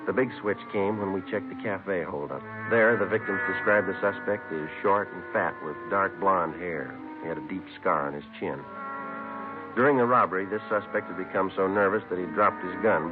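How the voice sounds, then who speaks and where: An actor playing a businesslike police sergeant in a radio drama, narrating his case in a deadpan, factual way. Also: deep voice, close to an everyday voice. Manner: deadpan, matter-of-fact. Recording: muffled narrow-band old radio, music behind.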